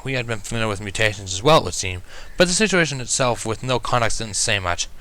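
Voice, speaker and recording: A man narrates a first-person story as a fan fiction reader. His voice is bright and crisp-edged, with lively rises and falls. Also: lowish voice, fast talker.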